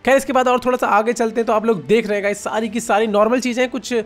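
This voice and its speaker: A man's voice: very high voice, fairly even intonation, fast, faint music behind. A man with an Indian accent giving casual, informal reaction commentary as a gaming video host, chatting to viewers like friends.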